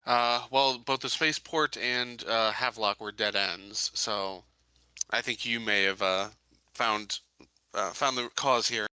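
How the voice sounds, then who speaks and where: A man's voice: lowish voice, a little slow, pushed and bright, clean tone, no echo, poor audio. A man talking casually and tentatively as a player in a tabletop roleplaying game.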